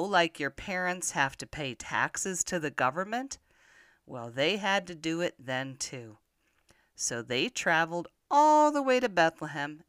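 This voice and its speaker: An older woman telling Bible stories to young children in an expressive manner, often putting simple questions to the listener. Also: sits low, no echo, clean studio sound. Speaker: children's podcast storyteller.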